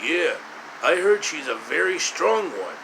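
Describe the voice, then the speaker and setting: Man doing a creaky, swooping animal henchman. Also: fairly high pitch, very animated, measured pace, full character voice. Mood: obedient. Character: servile henchman animal in a storybook tale. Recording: clear room hum and hiss.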